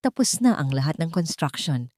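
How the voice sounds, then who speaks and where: A woman voicing a gentle, devoted man in love in an audiobook, speaking tenderly and sincerely. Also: low voice, sing-song, normal speed, no room sound, clean studio sound. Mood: tender, grateful. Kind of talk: audiobook character dialogue.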